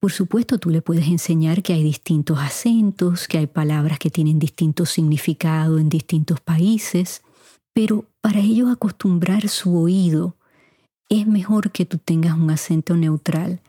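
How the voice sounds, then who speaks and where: A middle-aged woman with a mostly neutral Puerto Rican Spanish accent, hosting a podcast and answering listener questions in an unhurried, chatty way, speaking straight to her listeners. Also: on the low side, mellow, husky, dry recording, studio quality. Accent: Puerto Rican Spanish, kept mostly neutral. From Puerto Rico. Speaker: podcast host. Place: home recording room. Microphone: studio mic.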